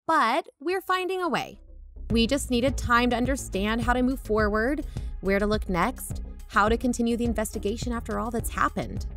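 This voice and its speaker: A woman's voice: fairly high pitch, normal speed, fairly bright tone. A woman playing a frank, determined podcast co-host, talking in a conversational, matter-of-fact scripted style. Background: background music.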